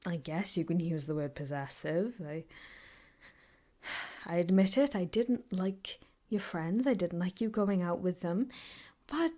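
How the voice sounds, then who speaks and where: A woman playing an obsessive, possessive yandere ex-girlfriend in an improvised ASMR roleplay, speaking intimately and haltingly, often slipping into whispers. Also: fairly low pitch, dark tone. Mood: defensive, jealous. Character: obsessive, possessive yandere ex-girlfriend holding her captive lover.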